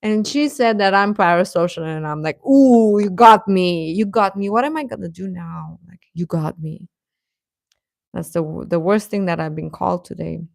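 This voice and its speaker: A woman chatting casually as host of a live stream, rambling and halting, with a sarcastic streak. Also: fairly low pitch, gravelly, darkish tone, no echo, studio quality. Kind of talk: casual live-stream chat about pop fandom.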